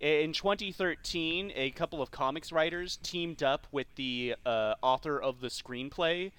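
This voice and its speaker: A man's voice: a little high, unhurried, fairly bright tone, clear, resonant tone. A man co-hosting a podcast, casually discussing films and games. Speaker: podcast co-host. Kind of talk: casual film and game discussion.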